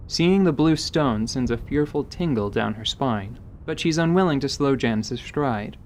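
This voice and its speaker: A man's voice: medium pitch, lively intonation, unhurried, darkish tone, slight background noise. A man giving scripted, present-tense narration for a fantasy audio drama.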